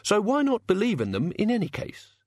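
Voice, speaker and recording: A man's voice: high-pitched, huge pitch swings, a little fast, a little mellow, no room sound, clean studio sound, voice pushed up, full character voice. A man doing a smug, glib philosopher. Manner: glib, persuasive.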